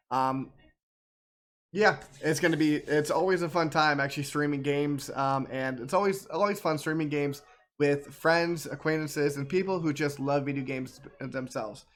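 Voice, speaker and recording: A man's voice: on the high side, a bit bright. A man giving casual, rambling game commentary as a streamer, often repeating himself.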